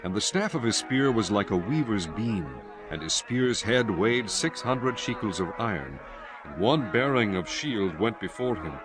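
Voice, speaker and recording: A man giving a solemn, measured dramatized narration of scripture as a storyteller. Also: lowish voice, lively intonation, low-quality recording.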